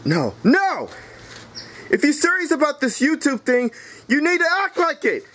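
A man voicing an angry, hot-tempered creature in a comedy skit, ranting and shouting complaints. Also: mid-range voice, big pitch swings, slow pace, slightly rough, pitched below the performer's own voice, heavily acted. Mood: furious ranting.

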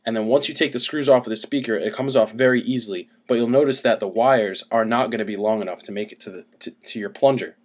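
A man's voice: mid-range voice, fast talker, few pauses. A young adult man giving a casual how-to explanation, speaking into a webcam at home. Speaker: DIY music gear demonstrator. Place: small cluttered room at home. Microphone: webcam about arm's length away.

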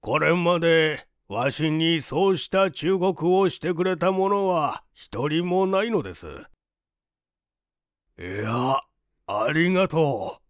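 A man voicing a stubborn, earnest older businessman with formal, old-fashioned, deliberate speech in an audiobook drama. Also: a little high, no room sound, clean studio sound.

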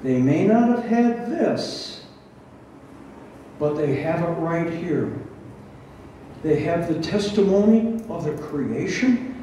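Slow, high-voiced older pastor in an echoing room. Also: male voice, animated, dark tone. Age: 60s. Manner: deliberate, didactic, with rhetorical questions. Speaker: pastor teaching a Bible study. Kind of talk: expository sermon, reading and explaining scripture. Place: barn hall with a stage, indoors. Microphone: headset. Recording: roomy, echoing, distant sound with faint noise.

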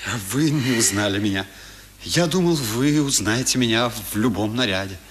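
A man acts out a hearty young seafaring servant in a vintage radio drama. His delivery is forceful and animated, jovial and full of exclamation, with slight background noise. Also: medium pitch, normal speed, lightly acted. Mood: tender. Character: hearty, devoted young sailor-servant home from travels. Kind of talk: 1970s Russian radio play acting.

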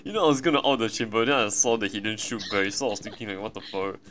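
A man's voice: lowish voice, a little hiss or hum. A man with a Malaysian English accent chatting and swearing while playing a shooter game with friends. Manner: swears a lot, quick excited reactions. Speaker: streamer playing with teammates. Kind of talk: casual gaming chat.

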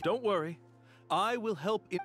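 A man voicing a polite young tour guide in a video game, formal, courteous and smooth. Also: sits high, normal speed, a bit bright, faint music behind. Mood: reassuring. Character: polite, earnest-sounding young male island tour guide.

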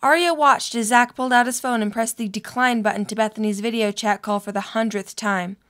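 A woman's voice: a little high, steady tone, fairly quick, fairly bright tone. A young adult woman reading scripted story narration for an audio drama.

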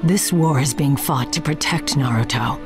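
A woman's voice: very deep voice, moderate pace, over music. A woman voicing a stern, weary village leader, grave and authoritative, in an anime game dub. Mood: grave, commanding.

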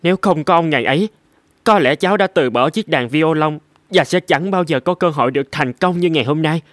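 A man's voice: on the high side, fairly quick, dry recording, clean studio sound, lightly acted. A man voicing a humble young violinist in a cartoon, with a polite and earnest manner. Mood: grateful. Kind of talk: animated cartoon dialogue.

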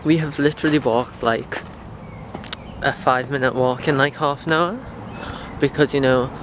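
A man's voice: mid-range voice, fairly even intonation, moderate pace. A young man vlogging as he walks along a riverside path, talking in a reflective, rambling way with lots of fillers, recorded on wireless earbuds. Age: young adult. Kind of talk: casual walking vlog. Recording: outdoor street noise. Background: traffic and outdoor ambience.

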